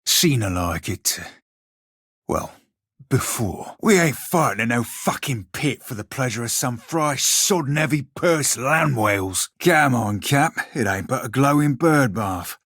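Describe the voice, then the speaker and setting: A man voicing a roguish, irreverent pirate sailor in a fantasy video game, sardonic and bantering in archaic nautical slang, with a husky, gravelly voice. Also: medium pitch, lively intonation, unhurried, a bit bright, dry recording, clean studio sound. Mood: wry, casual. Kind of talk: video game voice lines, banter and fight barks.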